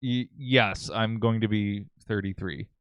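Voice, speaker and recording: A man's voice: on the low side, fairly even intonation, a little slow, a bit bright, clean tone, no room sound. Man in his thirties, podcast guest; matter-of-fact and philosophical, resonant voice, dry sound. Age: 30s. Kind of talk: casual podcast chat.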